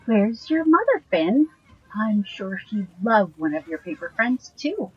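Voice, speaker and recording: A woman playing a sweet, playful young girlfriend from Canada in an audio drama, with a breathy, husky voice and a gently teasing manner. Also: medium pitch, big pitch swings, a little slow, muffled recording. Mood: kindly.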